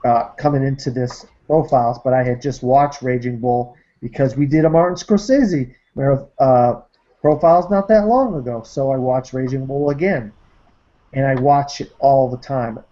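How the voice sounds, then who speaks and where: A man's voice: mid-range voice, normal speed, dark tone. A man from Massachusetts hosting a movie podcast panel, talking enthusiastically with many ums and uhs over dull online call audio. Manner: enthusiastic, filler-heavy. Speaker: podcast host. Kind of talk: casual panel show wrap-up.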